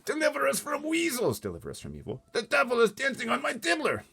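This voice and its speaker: A man voicing a huge, excitable giant in a storybook, kindly but easily agitated, with muddled folksy grammar and theatrical exclamations. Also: very high-pitched, fast, creaky, breathy edge, pitched above the performer's own voice, heavily acted. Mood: anguished, furious.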